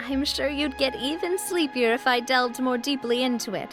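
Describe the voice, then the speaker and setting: A woman's voice: high voice, unhurried. A woman voicing a cheerful, confident young noblewoman who laughs easily and turns wistful when reflecting, in a video game. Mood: reflective. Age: young adult. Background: soft game music.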